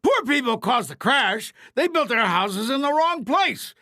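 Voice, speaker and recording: A man voicing smug, wheedling rich people who deflect blame with exaggerated insistence, in an animated fairy tale. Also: very high voice, a little slow, dry recording, studio quality, pitched above the performer's own voice, exaggerated voice. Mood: loud, accusing.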